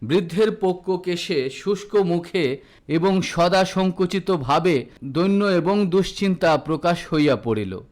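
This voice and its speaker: A man narrating a literary story from a script, with a formal, measured storytelling delivery. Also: sits high, animated, a little mellow. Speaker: audiobook narrator.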